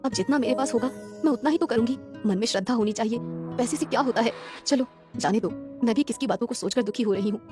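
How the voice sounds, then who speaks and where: Woman as a gentle, humble, high-voiced young woman. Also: quick pace, over music, close to an everyday voice. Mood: hurt, reflective. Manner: gentle, earnest. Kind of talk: Hindi cartoon moral-story dialogue.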